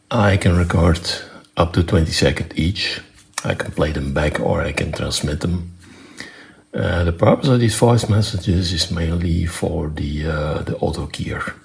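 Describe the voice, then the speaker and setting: Very deep, gravelly man giving a technical demo. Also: darkish tone. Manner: matter-of-fact, step-by-step, halting phrasing. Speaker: presenter demonstrating own electronics project. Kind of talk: unscripted technical demonstration.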